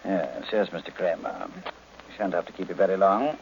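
A man's voice: low-pitched, animated, normal speed, gravelly. A man playing a pompous, cranky older detective in a 1940s radio mystery, speaking formally with precise diction. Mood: confident, lecturing. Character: pompous, cranky older male master detective, supremely self-assured. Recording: muffled, noisy old radio recording.

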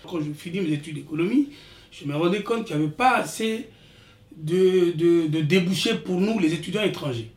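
Echoey sixty-year-old man from the Congo. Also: on the high side. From Republic of the Congo. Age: 60s. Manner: animated storytelling, many restarts. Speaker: interviewee, father. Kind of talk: casual interview talk. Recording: echoey room, distant mic.

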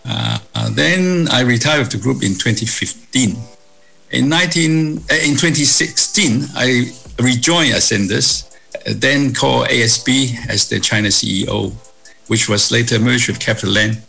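A man in his sixties from Hong Kong, answering interview questions in a measured way with many fillers and restarts. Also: mid-range voice, gravelly, bright, forceful tone, husky, soft background music, poor audio. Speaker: guest, property executive.

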